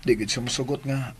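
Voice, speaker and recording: A man's voice: medium pitch, pushed and bright, slightly rough, heavily acted. A man playing an aggrieved grown son pleading with his mother in a radio drama, with a Filipino accent. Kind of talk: Cebuano radio drama acting.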